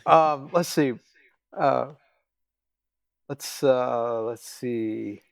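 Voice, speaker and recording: A man hosting a casual interview chat, speaking haltingly with trailing pauses. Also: mid-range voice, creaky, dry recording. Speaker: interviewer, host.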